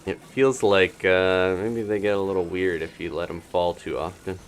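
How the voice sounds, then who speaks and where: A man's voice: sits low, fairly even intonation, moderate pace, a little hiss or hum. A man doing casual live commentary as he plays a video game, thinking aloud with playful reactions. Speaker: gaming live streamer.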